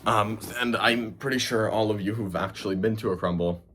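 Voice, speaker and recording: A man's voice: fairly low pitch, fairly quick, a bit bright, slight background noise. A young man from Hungary with a slightly Americanized Hungarian accent, the host of a cooking channel, ranting casually to camera, profane and rambling with lots of fillers. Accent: Hungarian, partly Americanized.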